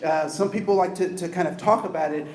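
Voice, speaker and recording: A man giving an energetic, interactive diversity training talk, asking the audience questions. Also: on the high side, fast talker, roomy sound, a little hiss or hum. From United States.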